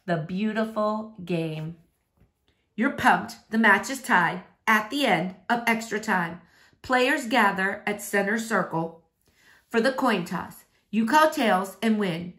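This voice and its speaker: Animated woman reading a storybook aloud. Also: medium pitch, slow pace. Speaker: storybook reader. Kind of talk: expressive read-aloud narration for children.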